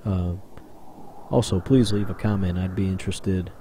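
Male vlogger, low mellow voice, rambling voice-over, faint hiss behind. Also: steady tone, normal speed. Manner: rambling, conversational, with many fillers. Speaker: urban explorer vlogger. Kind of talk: casual voice-over narration.

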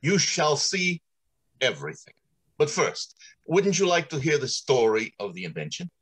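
A man's voice: fairly high pitch, very animated, normal speed, a bit bright, husky, full character voice. A middle-aged man playing a pompous, courteous factory general manager in old-time radio drama style, theatrical and businesslike. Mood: enthusiastic storytelling. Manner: theatrical, businesslike, hesitating with ums.

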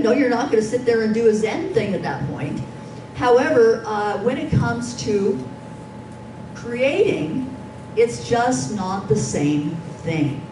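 A woman's voice: a little high, noisy background. Woman presenting in a distant, echoey room. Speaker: workshop presenter. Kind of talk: informal conference presentation. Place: dim conference meeting room.

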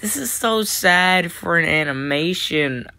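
A woman's voice: fairly low pitch, lively intonation, unhurried, bright, forceful tone, a little hiss or hum. A woman doing a casual solo comic dub, dropping self-deprecating, sweary asides. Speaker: comic dubber.

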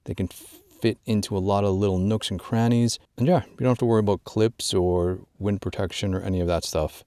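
A man presenting an informal instructional product walkthrough, drawing out key words in a measured way for emphasis. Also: lowish voice, steady tone, mellow, dry recording, clean studio sound. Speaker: gear tutorial presenter.

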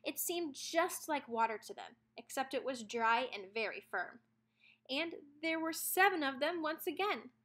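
A woman narrating a children's storybook aloud in an expressive read-aloud style. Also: high-pitched, measured pace.

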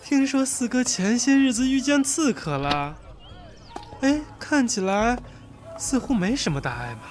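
An actor playing a mocking young prince in a Chinese costume audio drama, sneering with false politeness. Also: male voice, very high voice, sing-song, unhurried, noisy background, heavily acted. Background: background music.

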